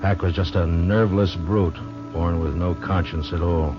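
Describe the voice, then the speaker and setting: An actor playing a hard-bitten frontier marshal with an American accent, narrating and speaking tersely and grimly in an old-time radio Western. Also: male voice, sits low, steady tone, moderate pace, natural voice. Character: steady, hard-bitten frontier marshal telling his story. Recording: dull old radio recording with music.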